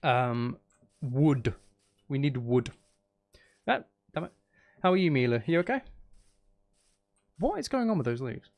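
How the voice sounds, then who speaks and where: A man's voice: mid-range voice, expressive, a little slow, creaky, pauses often, darkish tone. A man hosting a live game stream, chatting casually and playfully to his viewers and to himself. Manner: playful, talks to chat and to himself. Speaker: streamer and channel host.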